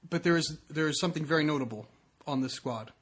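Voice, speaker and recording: Male podcast co-host, slightly high-pitched, conversational soccer chat, circles back over his own phrases. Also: fast talker, a bit bright. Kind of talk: casual soccer discussion.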